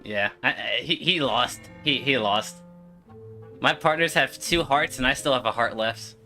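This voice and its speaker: A man doing casual live gameplay commentary, streaming in an excitable, slangy way with swearing and exclamations. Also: mid-range voice, measured pace, soft background music. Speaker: game streamer.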